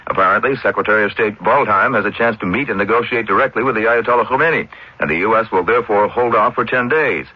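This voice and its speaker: A man's voice: on the low side, fast, husky, no echo. An American man anchoring a scripted network radio news bulletin on a dull vintage broadcast.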